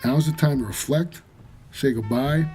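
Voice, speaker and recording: An actor playing a wry, world-weary cab driver for the dead, hard-boiled and laconic in a noir audio drama. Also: male voice, medium pitch, a little slow, warm tone, soft background music, close to an everyday voice. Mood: stern, counselling. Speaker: narrator and lead character.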